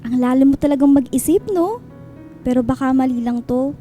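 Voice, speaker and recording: An actress playing a chatty young student who is unsure in love, in a radio drama, with a Filipino accent. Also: female voice, high-pitched, fairly quick, mellow, faint music behind, natural voice. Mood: unsure, confiding. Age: young adult. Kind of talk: radio drama acting with English code-switching.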